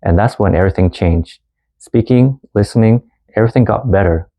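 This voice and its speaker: A man in his thirties who grew up in Canada, narrating a personal story as a language teacher in a calm, earnest way and mixing two languages. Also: lowish voice, a little fast. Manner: calm, earnest storytelling, switching between Vietnamese and English. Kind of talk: scripted lesson narration.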